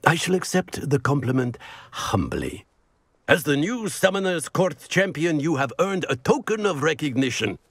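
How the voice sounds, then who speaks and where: A man's voice: fairly high pitch, sing-song, moderate pace, no echo. A man playing a jovial older wizard professor in a video game, with a grandiose, genteel and playful manner. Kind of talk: video game character acting.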